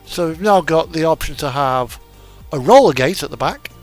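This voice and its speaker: A man's voice: a little high, animated, normal speed. A British man chatting casually and musing off the cuff while he plays a driving game. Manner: rambling, musing, unscripted. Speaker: streamer playing a truck driving simulator. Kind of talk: casual chat while gaming. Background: radio music and truck game engine sound.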